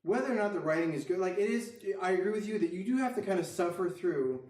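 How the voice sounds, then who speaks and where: Higher-voiced man, distant in an echoey room. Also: animated, quick pace, a little mellow. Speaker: podcast co-host. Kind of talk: casual discussion.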